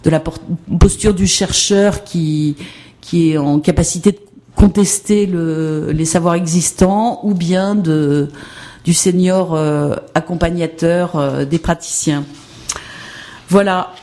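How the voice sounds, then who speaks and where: A woman's voice: fairly low pitch, steady tone, slow pace, warm tone. A woman giving an academic presentation on education research, hesitant and full of fillers and restarts.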